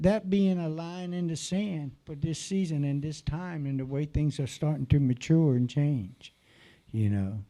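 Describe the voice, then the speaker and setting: Animated man with a mellow voice. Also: fairly high pitch, husky. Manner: animated storyteller who acts out quotes. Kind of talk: church bible discussion.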